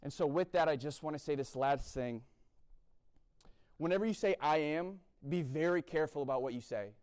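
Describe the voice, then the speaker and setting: Blunt young man preaching, fairly high voice. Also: moderate pace, darkish tone, clear, resonant tone. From East Coast of the US. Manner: blunt, repeats "right?" often. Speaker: speaker at a university chapel event. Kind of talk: sermon-style talk.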